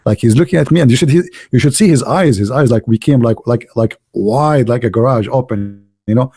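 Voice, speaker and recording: A man who speaks Arabic natively, hosting a livestream in non-native English, telling anecdotes and chatting casually in an animated, gravelly voice. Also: medium pitch, a little fast, no echo, studio quality. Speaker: livestream host. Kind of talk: casual anecdotes and chat with live viewers.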